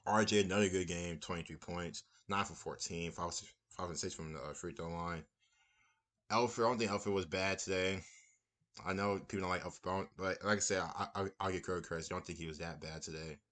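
A young man with a low, deep voice gives a relaxed post-game reaction. He rambles and circles back to the same phrases. He is recorded on a webcam in a small room. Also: brisk. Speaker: basketball fan commentator.

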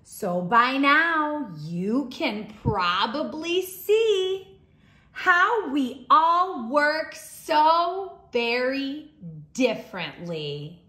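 A woman teaching young children, reading a storybook aloud in an expressive, deliberate way. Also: high voice. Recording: roomy, echoing indoor sound.

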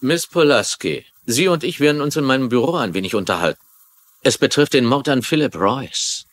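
A man's voice: mid-range voice, lively intonation, brisk, close to an everyday voice. A man playing a sarcastic police lieutenant questioning people in a dubbed TV crime drama. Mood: businesslike. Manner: sardonic, interrogating. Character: gruff, sarcastic middle-aged male police lieutenant.

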